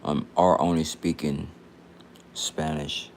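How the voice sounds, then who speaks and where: A man's voice: very deep voice, little pitch movement, slow, a little mellow, a little hiss or hum. A man in his late twenties from Texas, chatting casually on a livestream in a rambling, repetitive, slangy way. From Texas, United States.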